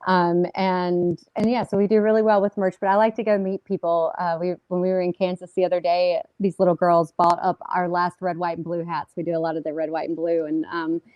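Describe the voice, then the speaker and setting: A woman's voice: on the low side, flat delivery, fairly quick, few pauses, dry recording, muffled recording. Chatty singer woman, dry sound. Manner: chatty, upbeat, with many fillers. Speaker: singer guest. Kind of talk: casual interview chat.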